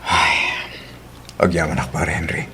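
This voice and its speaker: A guilty, evasive Filipino husband in an audio drama, acted by a man. His voice is deep and he stumbles hesitantly through his excuses. Faint ambient noise runs behind him. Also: level tone, unhurried, bright, forceful tone, full character voice. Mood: uneasy. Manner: hesitant, stammering. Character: evasive, guilty cheating husband making amends. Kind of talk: Ilocano audio drama acting.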